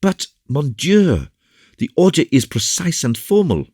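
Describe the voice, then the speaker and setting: A man's voice: low voice, very animated, measured pace, a little mellow, no echo, clean studio sound, voice pushed up. A man voicing an earnest, courteous young officer with an eager, agitated delivery in an audiobook. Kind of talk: audiobook character dialogue.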